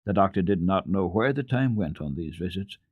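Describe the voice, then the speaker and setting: A man narrating children's literature as a radio storyteller, reading dramatically in an expressive, deliberate way. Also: low-pitched, slightly rough, no room sound, narrow, tinny audio.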